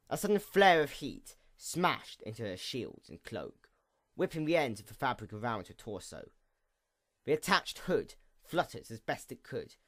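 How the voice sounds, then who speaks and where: Male storyteller for dramatic fantasy narration; highly animated pitch swings, mid-range voice, deliberate phrasing.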